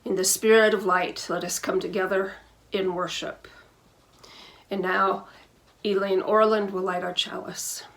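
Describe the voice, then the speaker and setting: A woman minister speaks at a middle pitch, recorded at home. She delivers a scripted welcome for a church service, phrasing it in a measured and deliberate way. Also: flat delivery, fairly bright tone.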